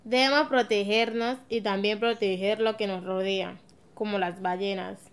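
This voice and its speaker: A woman's voice: mid-range voice, steady tone, moderate pace, clear, resonant tone, close to an everyday voice. A woman acting a curious, outspoken villager in an educational radio drama.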